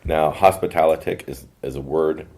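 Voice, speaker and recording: Booming very deep-voiced man chatting. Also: fairly even intonation, normal speed, darkish tone. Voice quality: big booming voice. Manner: laughs often, restarts, playful. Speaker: podcast host, conference and curriculum manager. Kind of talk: casual podcast chat.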